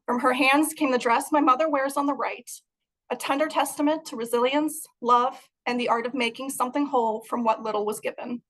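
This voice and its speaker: A woman's voice: high-pitched, steady tone, brisk, fairly bright tone, rough recording. A young adult woman from Moldova, giving an earnest, prepared talk about her art over a video call. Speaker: guest artist.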